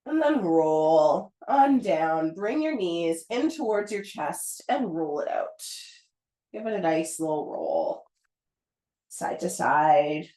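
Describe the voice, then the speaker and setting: Hoarse woman, pilates instructor, patient step-by-step cueing, echoey room. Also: on the low side, slow pace. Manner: calm, encouraging step-by-step cueing. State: hoarse, getting over a cold. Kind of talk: guided pilates class cues. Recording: roomy echo.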